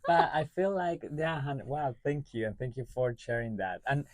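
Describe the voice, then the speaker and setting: A man hosting a podcast interview, friendly and halting, restarting his questions. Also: medium pitch, expressive, no echo. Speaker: podcast host. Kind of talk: casual interview.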